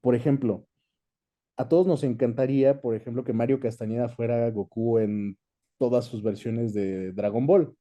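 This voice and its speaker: Mexican man with a very dark, mellow voice. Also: medium pitch, fairly even intonation, normal speed. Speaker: guest, dubbing actor and director. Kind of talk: casual interview chat, recounting experience.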